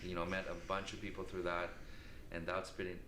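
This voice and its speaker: Man, 30s, from Sri Lanka, very low voice, reflective, rambling, noisy background. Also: completely flat delivery, fairly quick, reverberant. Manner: reflective, rambling, spiritual talk. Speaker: podcast guest. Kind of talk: casual interview chat.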